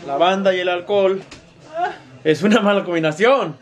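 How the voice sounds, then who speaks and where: A man's voice: high-pitched, moderate pace. A man in his thirties vlogging on a handheld camera, chatting casually in a joking, slangy way. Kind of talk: casual vlog chat. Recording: band music loud behind.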